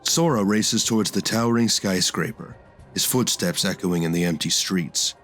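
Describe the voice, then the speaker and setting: A man's voice: low voice, moderate pace, fairly bright tone, soft background music. A man giving scripted, dramatic narration for an audio drama.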